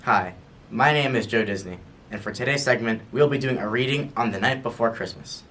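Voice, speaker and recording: A man's voice: mid-range voice, a little fast, fairly bright tone, slight background noise. A man presenting a segment and reading aloud. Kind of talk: introducing and reading a poem.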